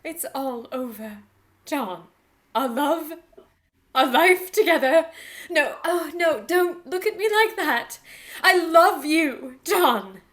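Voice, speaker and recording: An actress playing a tormented young woman under a dark spell, anguished and melodramatic in a radio play. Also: sits high, expressive, slow.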